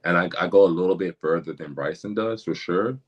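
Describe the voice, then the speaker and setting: A young man, a rapper guest, talking candidly in a podcast conversation, halting and repeating words, with casual slang. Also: deep voice, husky, highs cut off.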